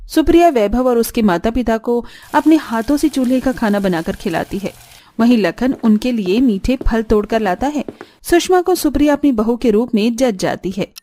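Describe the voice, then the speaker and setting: A woman's voice: on the high side, animated, normal speed, dark tone. A woman narrating a scripted cartoon story as the storyteller.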